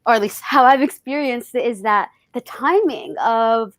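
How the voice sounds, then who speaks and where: A woman's voice: fairly high pitch, moderate pace, no echo. A woman actor on a panel, reflecting aloud and searching for her words as she goes.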